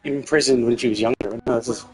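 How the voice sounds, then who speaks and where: A man doing casual live game stream commentary, rambling and thinking out loud with casual swearing. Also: medium pitch, fast, rough recording. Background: faint game music.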